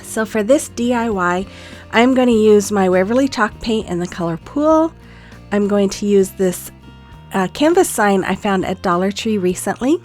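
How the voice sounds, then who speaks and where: A woman's voice: mid-range voice, lively intonation, normal speed, a little mellow, soft background music. An older woman, a grandmother, narrating her craft project in a chatty, homey voice-over, a little sniffly from a cold. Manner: chatty, homey, many "um"s and small laughs. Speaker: craft vlogger.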